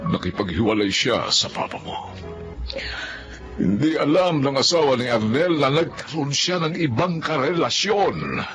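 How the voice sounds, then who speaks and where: A man's voice: medium pitch, a bit bright. Man acting grave, consoling uncle over music. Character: caring middle-aged uncle, grave and consoling. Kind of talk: Filipino radio-drama acting.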